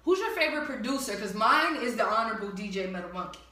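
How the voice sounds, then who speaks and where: A woman's voice: fairly high pitch, expressive, fast, pushed and bright, recorded from a distance. A woman from New Jersey interviewing a guest in a casual, slangy chat, laughing often and swearing freely. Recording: echoey room, voice from off-mic, lo-fi.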